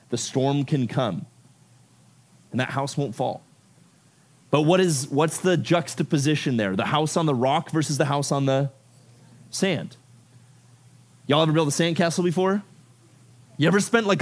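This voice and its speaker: A man from Ecuador giving a youth sermon to a room of about fifty teens. He talks casually and keeps dropping in "like" and "right?". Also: medium pitch. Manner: casual, animated, filler-heavy with frequent "like" and "right?". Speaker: youth pastor.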